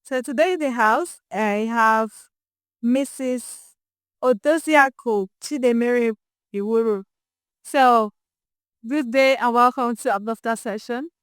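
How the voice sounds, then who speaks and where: A Nigerian woman presenting as a podcast host, introducing an interview in an expressive, emphatic way. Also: a little high, slow, clean tone, dry recording. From Imo State, Nigeria.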